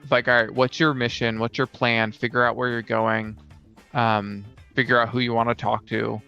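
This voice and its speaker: A man's voice: mid-range voice, little pitch movement, normal speed, creaky, pushed and bright, smooth, highs cut off, faint music behind. A man co-hosting a casual talk about tabletop games as a game master, thinking aloud as he goes. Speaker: podcast co-host and game master.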